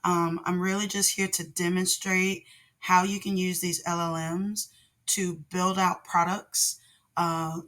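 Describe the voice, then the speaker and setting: A woman hosting a casual tutorial vlog, hoarse from laryngitis, with a naturally deep voice and a rambling way of talking full of ums. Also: a bit bright. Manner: rambling, with many ums and restarts. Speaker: channel host, product designer.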